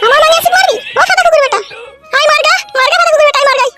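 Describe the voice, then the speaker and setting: A jealous cartoon wife, with an extremely high, squeaky voice. She scolds and quarrels at a breakneck pace, with music playing underneath. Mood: furious. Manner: scolding, rapid-fire quarrelling. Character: shrill, foul-mouthed, jealous wife in comedy cartoon. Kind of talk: amateur comedy cartoon dialogue.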